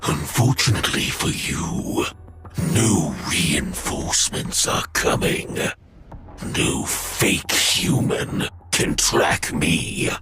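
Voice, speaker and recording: An actor playing a menacing machine villain in a video game, mocking and condescending toward humans. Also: male voice, extremely low pitch, animated, slow pace, heavy background noise, full character voice. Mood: taunting menace. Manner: taunting, condescending. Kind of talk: dramatic video game voice acting.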